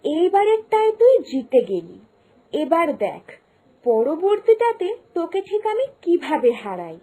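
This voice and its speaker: A woman's voice: extremely high pitch, wildly swooping pitch, measured pace, mellow, heavily acted. A woman voicing a jealous, plotting elder daughter-in-law in a children's cartoon. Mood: spiteful, gloating. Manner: scheming, muttering plans to herself. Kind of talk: Bengali moral-story cartoon dubbing.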